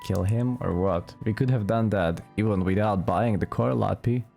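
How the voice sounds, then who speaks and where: Casting: male gaming commentator, casual and dry; somewhat low, mellow voice with vocal fry. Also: normal speed. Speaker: gaming video player. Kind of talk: casual let's play commentary. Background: game music.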